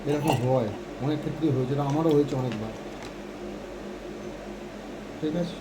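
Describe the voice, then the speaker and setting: A man's voice: fairly high pitch, normal speed, heavy background noise. A man giving an informal hands-on phone repair tutorial, often checking in with short tag questions.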